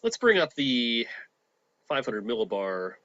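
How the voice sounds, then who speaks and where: A man explains weather charts in a loose, chatty way, full of uhs and restarts. His voice is bright and forward, recorded dry with a slightly dull, lo-fi sound. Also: medium pitch, very animated, unhurried, no echo. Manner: chatty, filler-heavy with frequent uhs and restarts. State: has a cold. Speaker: TV meteorologist.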